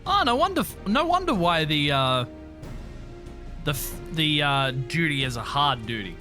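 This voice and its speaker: A woman's voice: deep voice, very animated, moderate pace, over music. A woman doing casual, profane livestream commentary on a game, repeating herself and narrating her own moves. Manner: profane, repetitive, self-narrating. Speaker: game streamer.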